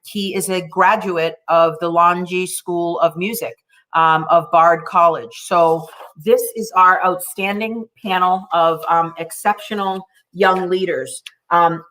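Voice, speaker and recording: A woman's voice: lowish voice, sharp tone. A woman in her forties moderating a panel over a video call, introducing guests in an effusive, encouraging way. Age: 40s.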